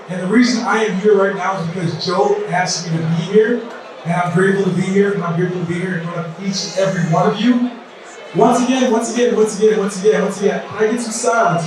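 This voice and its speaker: A man's voice: sits high, noisy background. A man in his thirties, originally from South Sudan, emceeing a campaign event in loose, repetitive crowd-rousing talk. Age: 30s. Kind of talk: casual speech at a campaign event. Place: large indoor event hall. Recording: echoey hall, mic from far off.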